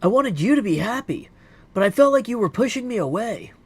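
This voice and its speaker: A man's voice: sits high, sing-song, a little fast, voice pushed up, exaggerated voice. A man voicing an earnest, dutiful young princess witch from a video game, speaking formally and from the heart. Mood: apologetic, tender. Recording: clear background noise.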